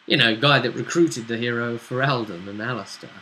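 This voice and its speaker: British male game master, forceful bright tone, halting casual recap, faint noise behind. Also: mid-range voice, low-quality recording. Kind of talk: casual recap of a tabletop role-playing game.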